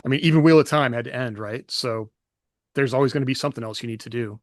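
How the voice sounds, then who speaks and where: A man's voice: medium pitch, fast, dry recording. A man co-hosting a writing podcast in casual chat, talking through ideas at length with many fillers and restarts. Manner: thoughtful, rambling with fillers. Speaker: podcast co-host.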